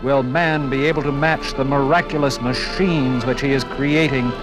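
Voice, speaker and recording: A man's voice: medium pitch, fairly even intonation, moderate pace, over music. An American man giving formal 1950s radio documentary narration in a solemn, oratorical manner.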